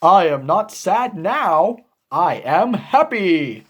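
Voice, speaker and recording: A woman voicing a big, emotional, needy male elephant from a children's picture book, with dramatic, drawn-out delivery. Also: on the low side, very animated, breathy edge, voice pushed down, heavily acted. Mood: happy.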